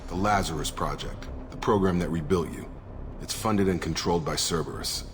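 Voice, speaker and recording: An actor playing a loyal, frank soldier in a video game, speaking in an earnest, firm, plain-spoken way. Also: male voice, low voice, moderate pace, background noise. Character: steady, loyal male soldier, frank and dutiful. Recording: reverberant hall under music.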